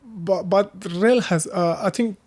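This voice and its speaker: A man from Afghanistan with an Afghan accent and Hazaragi as his first language, answering casually in halting, searching English. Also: high-pitched, darkish tone. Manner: halting, searching for words in non-native English. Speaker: interviewee. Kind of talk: casual interview conversation.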